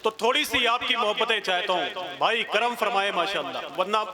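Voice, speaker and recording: A man compèring a religious gathering. He talks to the audience between recited devotional couplets, and he chants each couplet loud, high and drawn out with repetitions. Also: expressive, a little fast. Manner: addresses the crowd directly with coaxing asides. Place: live religious gathering with a crowd.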